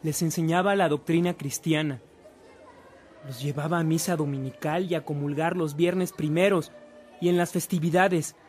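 A man with a Mexican accent narrating a saint's life in a scripted radio drama, in a measured storytelling manner. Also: a little high, steady tone, a little mellow, soft background music, natural voice.

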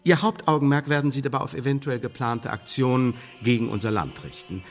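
An actor playing an authoritative English intelligence official in a radio play, speaking in formal, measured, condescending bureaucratic German phrases. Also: male voice, medium pitch, lightly acted. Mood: businesslike, persuasive. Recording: reverberant hall-like studio radio production.

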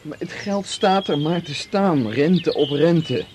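An actor playing a wealthy, gentle farmer who coaxes and teases a woman in a radio drama. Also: slow pace, noisy background. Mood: coaxing. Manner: low-key, coaxing, playful. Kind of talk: 1980s radio drama acting.

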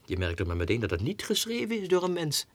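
A man's voice: fairly high pitch, huge pitch swings, fast, exaggerated voice. An actor playing a lovesick, scornful madman who mutters and exclaims theatrically to himself in a 1960s radio drama. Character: lovesick madman, agitated and scornful, reading letters aloud.

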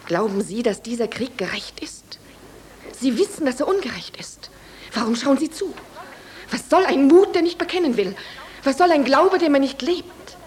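Casting: a righteous, bereaved wife, fairly high voice, stern and declamatory, old radio drama with faint noise. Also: female voice, lively intonation, moderate pace. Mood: stern reproach. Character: grieving, accusing widow, righteous and unyielding. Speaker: actress in a radio play. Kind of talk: theatrical radio drama acting.

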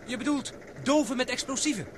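A male actor playing a brusque, wary rival on an expedition in a Dutch radio play, terse and halting when uneasy. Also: very high voice, noisy background. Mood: matter-of-fact.

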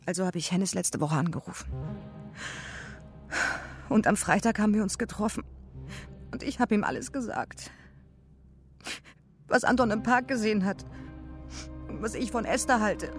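A woman's voice: mid-range voice, expressive, moderate pace, long pauses, soft background music, natural voice. An actress playing a bitter, resentful woman grieving her ex, scornful and emphatic, in a naturalistic radio crime drama.

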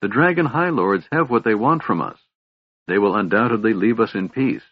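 A man's voice: lowish voice, lively intonation, normal speed, husky, no echo, phone-quality sound. A man voicing a proud, stern elven elder brother in a fantasy audiobook, speaking in a haughty, formal, accusing way.

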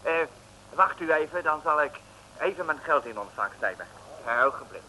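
Polite English investigator, English accent, bright animated voice, old radio drama. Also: male voice, a little high, normal speed. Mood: confiding. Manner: courteous, exclaiming, mixing in English phrases. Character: excitable polite Englishman, an investigator hunting diamonds. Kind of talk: 1960s radio serial acting. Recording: old noisy radio recording, faint hiss.

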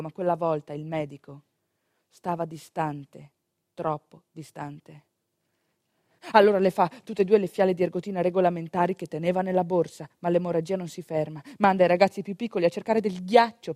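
A woman's voice: on the low side, mellow, dry recording. Woman storyteller, dry close sound. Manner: conversational, emphatic, dramatic pauses and repetitions. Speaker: actress narrator of a radio monologue. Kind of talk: theatrical storytelling monologue for radio.

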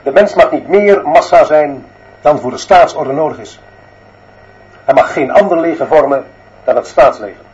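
A dictatorial headmaster, played by a male actor, holds forth in a radio drama. His fairly high male voice is stern and declamatory, each phrase measured and emphatic. The recording is slightly dull. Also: darkish tone, close to an everyday voice. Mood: cold, doctrinaire. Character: stern dictatorial male school director obsessed with discipline. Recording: slightly dull, muffled sound.

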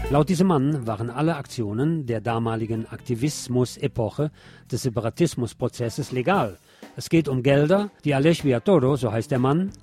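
Mann liest Nachrichten, Musik im Hintergrund. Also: male voice, medium pitch, a little mellow. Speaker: radio show host reading local news. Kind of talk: scripted news with personal commentary. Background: music with guitar.

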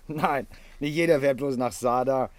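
A man's voice: mid-range voice, unhurried, a little mellow, no echo, lightly acted. Man playing cheery self-important traveller, old radio play acting, dry close sound. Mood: cheerful, boastful. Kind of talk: 1950s German radio drama acting.

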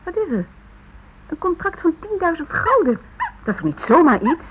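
A woman's voice: very high-pitched, sing-song, normal speed. An actress playing an eager, practical wife and mother in a radio play, speaking in a probing, coaxing way. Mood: pressing. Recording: muffled old radio recording, faint noise.

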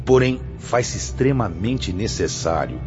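A male actor with a Brazilian accent playing a stern, pious spirit mentor in a radio drama, preaching in a solemn, measured way. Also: on the low side, lively intonation. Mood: solemn, instructing. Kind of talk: radio drama acting in Portuguese. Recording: dull lo-fi audio with loud music.

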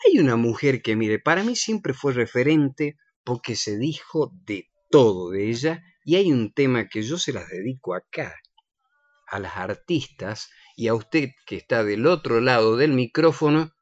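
A man's voice: mid-range voice, sing-song, a little slow, no room sound, lo-fi sound. A man hosting a casual radio chat in a playful, teasing manner. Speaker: radio host.

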